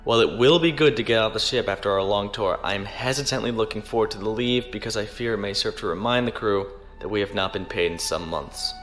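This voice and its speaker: A man playing a dutiful, reserved naval lieutenant in a science fiction audio drama, speaking in a formal, measured way. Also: lowish voice, fairly bright tone, soft background music, close to an everyday voice. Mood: formal, weary. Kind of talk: audio drama acting, log narration.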